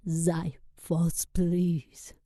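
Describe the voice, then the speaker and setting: Actress as animated woman warrior: mellow, unhurried, earnest, protective. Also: lowish voice, dry recording, studio quality. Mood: pleading. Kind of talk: animated cutscene acting.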